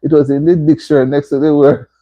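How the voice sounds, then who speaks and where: A woman with a husky, deep, mellow voice chats on a livestream from home. She reads viewer comments aloud, laughing and teasing as she goes. The audio is dull and lo-fi. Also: little pitch movement, quick pace. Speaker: livestream host. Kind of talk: casual livestream chat. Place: indoors at home.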